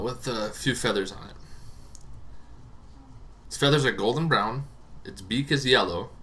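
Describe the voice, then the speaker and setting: A man's voice: medium pitch, fairly bright tone. A man giving an informal, conversational explainer on tabletop game monsters, wandering into asides as he goes. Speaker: tabletop game lore presenter.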